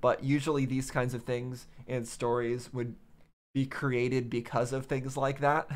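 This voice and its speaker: A man's voice: mid-range voice, little pitch movement, unhurried. A man hosting a game reaction channel, thinking aloud in casual commentary and repeating phrases as he reasons.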